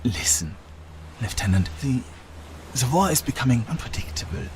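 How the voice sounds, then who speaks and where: An actor playing a silky, mocking, self-serving German army officer with a German accent in a video game. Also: male voice, medium pitch, animated, normal speed, a little mellow, slight background noise, close to an everyday voice. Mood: cagey, scheming. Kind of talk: video game cutscene acting.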